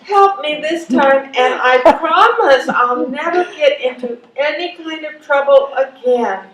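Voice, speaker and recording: A woman's voice: sits high, a little slow, fairly bright tone, slight background noise. A woman acting an exasperated, desperate older woman seeking help in a readers theatre performance. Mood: pleading. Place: live theatre performance in a hall. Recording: echoey live stage, distant mic.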